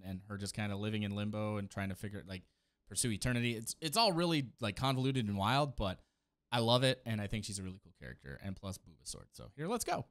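A man in his thirties giving enthusiastic, slangy reaction commentary in a casual style. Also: lowish voice. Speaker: video host reacting to game trailers. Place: small indoor room, streaming setup. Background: game trailer music.

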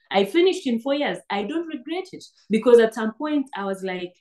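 A woman's voice: a little high, dark tone. Kenyan woman in her thirties, earnest interview guest on a webcam, talks in restarts. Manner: earnest, restarts words often. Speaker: guest, PhD graduate. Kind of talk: interview over video call. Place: indoor room, seated on sofa. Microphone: laptop webcam on video call.

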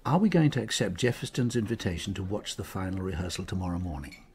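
A loyal English doctor from a radio mystery, plain-spoken and sceptical. His gravelly voice rises and falls expressively as he thinks aloud and gently grumbles. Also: low-pitched, lively intonation, fast talker. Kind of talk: BBC radio drama acting.

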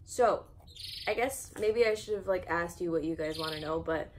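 A woman's voice: lowish voice, moderate pace. Young American woman chatting over background noise. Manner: self-deprecating, informal, giggly. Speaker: houseplant vlogger. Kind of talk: casual rambling chat while repotting plants. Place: indoor room at home with plants. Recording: noticeable background noise, home video.

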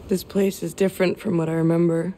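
An actress playing a fragile, traumatized young woman in a video game drama, speaking in a hesitant, halting way. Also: on the low side, flat delivery, dark tone, a little hiss or hum, lightly acted. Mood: sad, withdrawn. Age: young adult.

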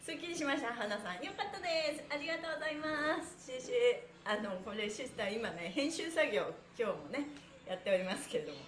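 A woman with a notably high voice hosts an exercise livestream in a roomy, echoing space. She is cheerful and encouraging, talking in a chatty way as she guides each movement. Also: animated, fast talker, a bit bright. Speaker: stretch class instructor and host. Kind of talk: livestream exercise lesson, counting with chanted phrases. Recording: echoey room.

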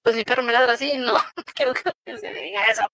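A man using a voice-changing program to sound like a giggly, squeaky young woman. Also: animated, brisk, pushed and bright, no room sound, full character voice. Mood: giggly chatter. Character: giggly high-pitched girlish voice "Cortana". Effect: voice changer pitch shift.

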